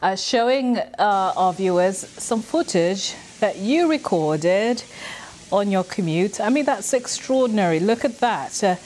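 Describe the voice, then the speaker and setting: A woman news anchor presenting the news live and interviewing a guest in a measured, polished way. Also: mid-range voice, lively intonation, a little mellow, a little hiss or hum.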